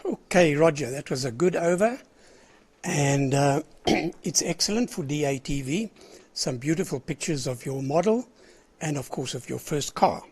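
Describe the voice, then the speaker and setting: An older man with a South African accent, hoarse with a cold, chatting as the controller of an amateur radio net and rambling between greetings. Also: on the high side, expressive, unhurried, a little mellow. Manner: chatty and rambling, clears his throat often. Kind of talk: casual on-air net chat.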